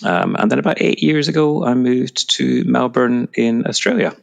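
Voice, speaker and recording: A man's voice: medium pitch, moderate pace, vocal fry. A middle-aged Scottish man answering interview questions conversationally as an executive guest. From Edinburgh, Scotland.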